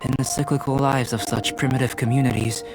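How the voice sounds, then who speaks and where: Man voicing a calm, scholarly young alchemist. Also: mid-range voice, gravelly, close to an everyday voice, low-quality recording. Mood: lecturing. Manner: calm, measured and lecturing. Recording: backing music.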